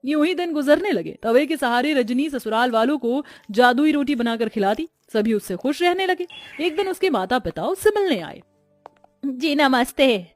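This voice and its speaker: A woman's voice: high-pitched, expressive, normal speed, darkish tone, soft background music. A woman storyteller reading scripted narration for a cartoon tale.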